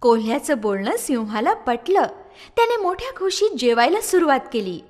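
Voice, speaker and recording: A woman narrating a scripted story for children in an expressive storytelling style. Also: high-pitched, moderate pace, long reverb tail.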